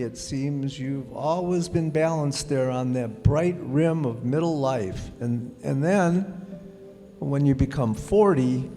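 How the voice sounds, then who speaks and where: An actor playing a prim, reasonable husband remembered from the past in a radio play, soft and gently lecturing. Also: male voice, on the high side. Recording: hall reverb, music bed.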